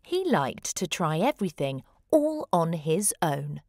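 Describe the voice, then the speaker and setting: A woman narrates a rhyming picture book for children in an unhurried, expressive way. She leans on key words for drama, and the sound is dry and close. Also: low voice, dry recording. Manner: measured, dramatic emphasis. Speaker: storybook reader.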